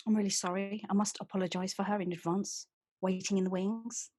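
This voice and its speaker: A woman playing a calm, protective female inner voice who speaks softly and soothingly in a solo stage monologue. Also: mid-range voice, fairly quick, a little hiss or hum, lightly acted. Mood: wistful. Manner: soft, soothing, confiding.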